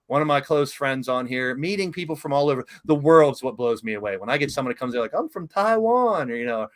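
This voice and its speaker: Excitable middle-aged talk show host. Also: male voice, medium pitch, fast. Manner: enthusiastic, emotional, rambling storyteller. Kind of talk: casual interview chat.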